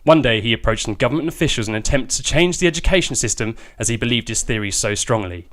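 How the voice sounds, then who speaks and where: A man's voice: mid-range voice, animated, fast, a bit bright. A man hosting a business podcast, bantering with his co-host and reading a comic story aloud as narrator. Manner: jokey, teasing, reads narration with flourishes.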